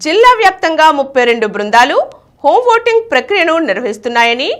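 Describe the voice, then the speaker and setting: A woman reads scripted news in a high-pitched voice. Her intonation is very animated, moving widely up and down through each sentence. She speaks in the formal manner of a news reader. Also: fast.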